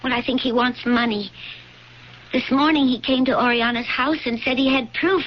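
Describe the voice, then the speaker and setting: A woman's voice: sits high, normal speed, natural voice. A woman in a radio drama playing an earnest, loyal young blind slave girl who pleads and insists. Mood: insistent. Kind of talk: old-time radio drama acting. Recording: dull, noisy radio drama recording.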